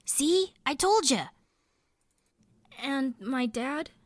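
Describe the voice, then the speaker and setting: A woman's voice: high-pitched, expressive, very slow, dry recording, studio quality, exaggerated voice. A woman voicing an earnest, trusting young boy in an audio drama, with a childlike, pleading manner.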